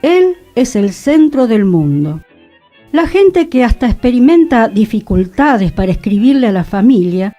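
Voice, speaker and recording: A woman with a Rioplatense Spanish accent reads a literary essay in a radio studio. Her voice is mellow and soft-edged, and her pitch rises and falls widely as she leans into each rhetorical question. Music plays faintly under her. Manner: expressive, rhetorical reading. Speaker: radio host. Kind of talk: reading a literary essay aloud.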